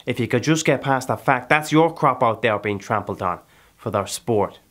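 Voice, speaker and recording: Low-voiced, brooding Irish killer played by an actor. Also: male voice, steady tone, natural voice. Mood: bitter, indignant. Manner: brooding, deliberate, with pauses and rhetorical questions. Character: hardened Irish settler, proud, brooding, restless killer. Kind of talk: dramatic theatrical monologue.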